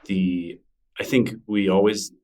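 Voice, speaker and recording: A middle-aged man with a deep, low voice chats as a podcast guest. He talks in a loose, self-deprecating way, often restarting his sentences. He sits a little off the mic. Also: slow, distant mic. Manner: relaxed, rambling, self-deprecating with frequent restarts. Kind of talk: casual interview conversation.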